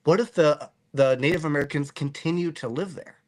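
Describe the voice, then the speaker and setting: A man hosting a podcast in casual chat, animated and joking as he rambles through his musings. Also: a little high, fairly quick. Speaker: podcast host.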